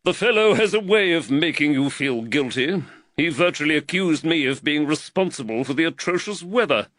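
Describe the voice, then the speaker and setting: An earnest magistrate who frets over money, put on by a man reading the story aloud. He speaks a notch higher and louder than the narration, leaning hard on his points with a lively, swinging pitch. The recording is dry and close, with no room around it. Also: moderate pace, dry recording. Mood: genial. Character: earnest, fretful male London magistrate, money-worried. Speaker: magistrate and employer.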